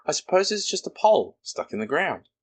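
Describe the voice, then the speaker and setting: A fussy, bustling rabbit talks in a high, bouncy voice, as a male reader puts him on. He is busy and full of opinions. The character comes from a storybook. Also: very animated, a little fast, breathy edge.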